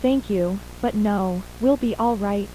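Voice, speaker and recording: A woman's voice: medium pitch, slow pace, warm tone, natural voice, poor audio. A woman playing a shaken mother and attack survivor, plainly recounting events in an acted horror audio drama interview. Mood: subdued, uneasy. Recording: faint hum and hiss.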